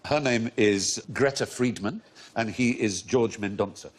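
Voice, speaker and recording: A man's voice: on the low side, measured pace. A man hosting a panel quiz show, telling stories in an erudite, amused way in a television studio. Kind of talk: witty panel show chat. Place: TV studio with audience.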